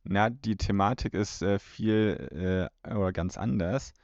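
A man's voice: lowish voice, measured pace, creaky, darkish tone, no room sound. A man in his thirties co-hosting a podcast, talking and reading aloud in a home setting. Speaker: podcast host. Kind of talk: podcast talk, partly reading a plot blurb. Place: room in a family home. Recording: dull home recording.

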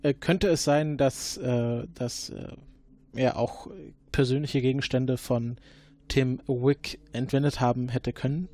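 A man playing a formal agent interrogating a witness in an improvised audio drama, halting and full of fillers. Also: mid-range voice, fairly even intonation, dark tone, no echo, natural voice. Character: formal male time-travel agent questioning a witness.

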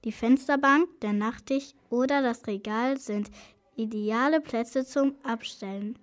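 High-voiced female children's radio presenter. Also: unhurried. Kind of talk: scripted tips for children.

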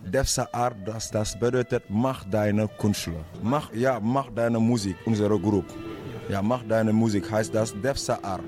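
Low-voiced Senegalese drummer, halting speech. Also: male voice, fairly even intonation, music in the background. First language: Wolof. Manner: halting, searching for words. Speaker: interviewed drummer. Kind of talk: casual interview.

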